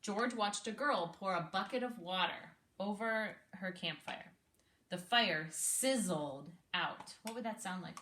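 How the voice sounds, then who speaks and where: A woman reading a story aloud expressively, pausing to explain and ask questions like a teacher. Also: mid-range voice, sharp tone, reverberant. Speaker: speech therapist reading aloud to a child.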